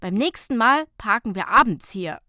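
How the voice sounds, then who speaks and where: A woman's voice: on the high side, lively intonation, moderate pace, sharp tone, no room sound, clean studio sound. A woman voicing a fun-loving, wry and playfully vain female friend in an audiobook story. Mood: joking. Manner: wry, mock-coquettish.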